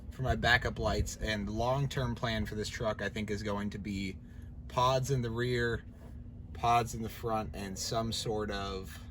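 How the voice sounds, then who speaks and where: A man giving a casual hands-on tutorial, talking informally and thinking aloud as he works. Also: medium pitch. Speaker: DIY truck vlogger. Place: in or around a pickup truck. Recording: clearly noisy, vehicle ambience.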